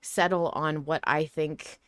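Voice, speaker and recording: Low, clear-voiced woman podcast host. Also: steady tone, slow pace, fairly bright tone, no room sound, studio quality. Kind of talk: casual analytical discussion.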